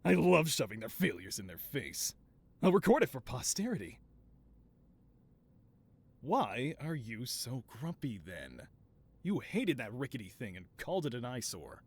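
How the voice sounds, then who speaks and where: A man's voice: mid-range voice, very animated, a little slow, pauses often, a little mellow, breathy edge, heavily acted. A man playing a flamboyant, doting mad scientist supervillain boss in a scripted audio roleplay, with a theatrical, wheedling, self-justifying manner. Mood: defensive, coaxing.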